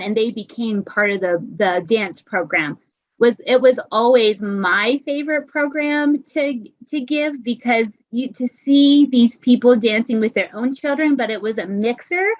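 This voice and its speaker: Lively higher-voiced woman telling a story. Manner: lively storytelling with self-corrections. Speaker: teacher. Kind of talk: video call discussion. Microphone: video call. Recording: dull lo-fi video call audio.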